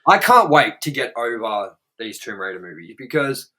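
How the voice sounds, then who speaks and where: A man's voice: medium pitch, sing-song, moderate pace, sharp tone. Blunt, swearing Australian man. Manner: blunt and profane, rambling with many fillers. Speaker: co-host, movie reviewer. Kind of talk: casual review chat.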